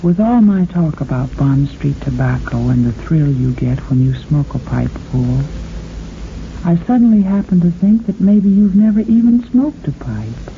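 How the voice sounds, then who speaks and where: Slow, sultry woman on vintage radio. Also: sits low, lively intonation, slight background noise. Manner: flirtatious, cooing, addresses listener with pet names. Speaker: radio host and singer. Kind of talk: intimate 1940s radio monologue and sponsor pitch. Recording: old muffled radio recording with organ. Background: organ music.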